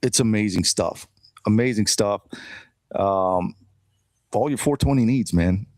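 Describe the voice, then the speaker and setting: A man's voice: on the low side, a little mellow, dry recording. A man co-hosting a podcast, casually plugging sponsors and his band, often saying bro and man. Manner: easygoing, says bro and man, quick jokes. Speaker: podcast co-host. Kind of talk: casual podcast chat, sponsor shout-outs.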